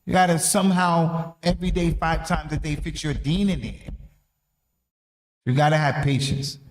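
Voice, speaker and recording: A man's voice: on the high side, steady tone, normal speed. A man with an American accent giving an informal sermon, emphatic and colloquial, repeating key phrases and posing rhetorical questions. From North America. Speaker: preacher giving a religious reminder to youth. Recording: echoey room.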